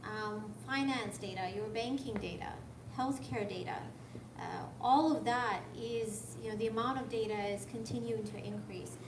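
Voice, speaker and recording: A soft-spoken woman from Canada giving an informal lecture in a hesitant, conversational way, often posing questions. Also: on the high side, moderate pace. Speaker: guest speaker, software development manager. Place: lecture room at a tech school. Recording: echoey room, distant mic, noisy background.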